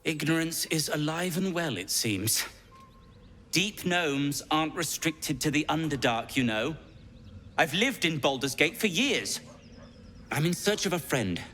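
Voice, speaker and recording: Wry gnome, lively and deliberate. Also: male voice, a little high, slight background noise. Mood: sardonic. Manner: dry, formal, deliberate phrasing. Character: wry, proud male deep gnome, sardonic and weary. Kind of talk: video game voice acting.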